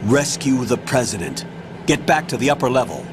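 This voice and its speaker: A man's voice: medium pitch, big pitch swings, moderate pace, background noise, close to an everyday voice. A man voicing a stern, curt military colonel. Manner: curt, authoritative orders. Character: commanding older male colonel on the radio. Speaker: mission commander. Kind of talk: video game cutscene voice acting.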